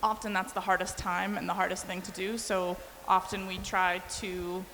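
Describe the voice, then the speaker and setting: A woman's voice: medium pitch, little pitch movement, moderate pace, sharp tone. A woman from Canada who coaches a university team, speaking steadily and articulately on a panel. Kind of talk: panel discussion. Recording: reverberant hall with faint noise.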